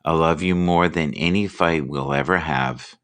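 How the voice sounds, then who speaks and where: Low-voiced man hosting a casual livestream. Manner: relaxed, rambling, reads quotes aloud slowly. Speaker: livestream host. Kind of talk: casual live chat.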